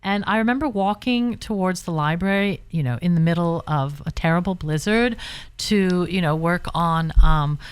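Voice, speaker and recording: A woman's voice: on the low side, normal speed, clear, resonant tone, no echo. A woman guest on a call-in radio show, chatty and rambling as she tells stories. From Britain, Bahamas and California.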